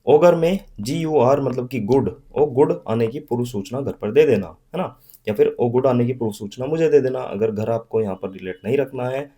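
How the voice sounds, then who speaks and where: A young man teaching vocabulary in Hindi with a western Uttar Pradesh accent and a slight Haryanvi touch. He explains patiently, repeats his memory tricks and reads English definitions slowly. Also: mid-range voice, warm tone. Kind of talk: recorded classroom-style lesson.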